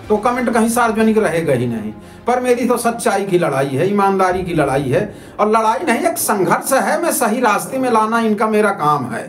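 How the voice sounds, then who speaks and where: Male citizen reporter, high voice, argumentative informal commentary, music underneath. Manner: argumentative, repetitive, rhetorical questioning. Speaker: citizen journalist presenting a video report. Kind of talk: informal spoken commentary to viewers. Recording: roomy echo with music underneath.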